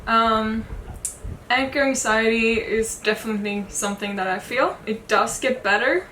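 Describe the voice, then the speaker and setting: A woman's voice: fairly high pitch, normal speed, sharp tone, echoey room, a little hiss or hum, poor audio. A woman from Sweden with a Swedish accent, co-hosting a sailing chat, speaking candidly with small hesitations. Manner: thoughtful, candid, light hesitations. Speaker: sailing vlog co-host. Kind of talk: casual Q&A chat.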